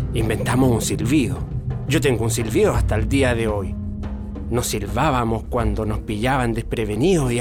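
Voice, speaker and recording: A man's voice: on the low side, lightly acted. An actor playing an older Chilean father who fondly and plainly remembers his son in a radio drama. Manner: reminiscing, plainspoken. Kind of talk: radio drama testimonial narration.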